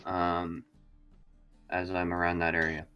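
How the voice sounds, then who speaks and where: Male tabletop player, deep and crisp, tentative casual delivery, music behind. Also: completely flat delivery, measured pace, bright, forceful tone, dull audio. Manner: tentative, thinking aloud. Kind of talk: casual, describing his character's actions.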